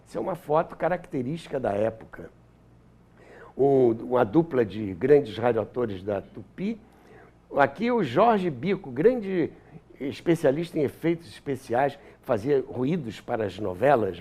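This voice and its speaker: A man's voice: medium pitch, sing-song, unhurried, darkish tone. An older man with a Brazilian accent, reminiscing as an interview guest and searching for words here and there. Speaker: interview guest, historian and author.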